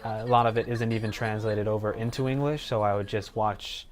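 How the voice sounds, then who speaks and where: A man of about twenty, a student answering documentary interview questions in a relaxed, conversational way. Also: on the low side, a little fast. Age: 20s. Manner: relaxed, conversational, with casual fillers.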